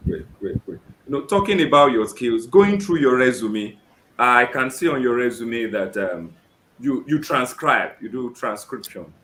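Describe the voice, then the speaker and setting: A Nigerian man hosting a live job seeker interview, often saying "great" and filling pauses with "um". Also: medium pitch, lively intonation, fairly bright tone, reverberant, slight background noise, low-quality recording. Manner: keeps saying "great" and fills with "um".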